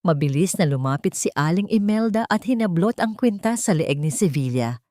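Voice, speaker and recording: A woman's voice: on the low side, lively intonation, moderate pace, mellow, no room sound, clean studio sound. A woman narrating a scripted audio drama story in a dramatic storytelling style.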